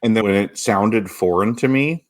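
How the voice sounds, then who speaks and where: Deep-voiced Canadian co-host. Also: male voice, normal speed, slight background noise. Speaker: podcast co-host. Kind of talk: casual podcast chat.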